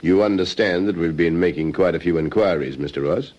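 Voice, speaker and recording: An actor playing a dogged, suspicious police sergeant in an old radio detective drama, measured and politely insistent. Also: male voice, deep voice, darkish tone, lightly acted. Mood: probing, dry. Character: dogged, calmly suspicious male police sergeant questioning a suspect. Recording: slightly dull vintage radio recording.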